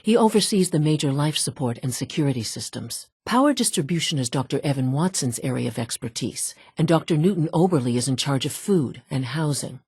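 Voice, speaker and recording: A poised woman scientist from a video game, with a notably low voice and a husky edge. She speaks in measured, formal sentences and stays matter-of-fact, like someone used to running a facility. The sound is dry and close, with no room around it. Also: darkish tone, dry recording, exaggerated voice. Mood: composed, informative. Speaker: video game character, facilities division head. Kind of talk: scripted video game dialogue.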